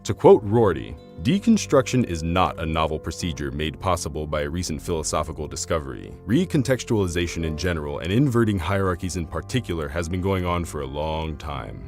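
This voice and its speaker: A man's voice: low voice, big pitch swings, a little fast, hardly any pauses, soft background music. A man reading scripted narration in a formal, argumentative, bookish manner. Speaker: video essay narrator.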